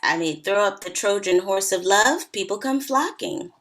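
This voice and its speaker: A woman's voice: mid-range voice, expressive, moderate pace, fairly bright tone, close to an everyday voice. A woman acting a sardonic, confident party-loving wedding guest in a screenplay table read. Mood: wry, playful.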